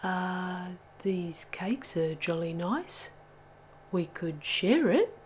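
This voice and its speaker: A greedy, easygoing boy teddy bear from a storybook, voiced by a woman. He drawls along slowly in a low-key, dopey way. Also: expressive, a little mellow, slight background noise. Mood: sheepish. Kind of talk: children's storybook read-aloud.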